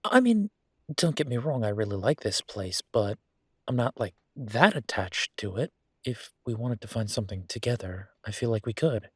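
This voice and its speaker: A man's voice: mid-range voice, sing-song, normal speed, a bit bright, slightly rough, no room sound, studio quality. A man playing an affectionate young werewolf boyfriend in an intimate audio roleplay, talking in a casual, hesitant, rambling way. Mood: thoughtful, hopeful.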